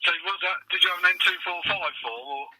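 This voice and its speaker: A British man explaining things informally and haltingly in a casual, agreeable phone conversation. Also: high voice, a crowd in the background, low-quality recording. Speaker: bailiff on a phone call.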